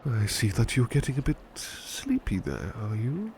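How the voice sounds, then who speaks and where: A man playing a devoted servant turned talking plush toy in a soothing ASMR roleplay, soft-spoken, reassuring and politely formal, a little hesitant. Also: medium pitch, wildly swooping pitch, moderate pace, a little hiss or hum. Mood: sleepy, soothing.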